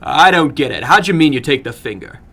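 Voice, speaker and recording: A man voicing a boastful American boy of about nineteen in a dramatic story, loud, breezy and slangy. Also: fairly high pitch, very animated, fast. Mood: incredulous.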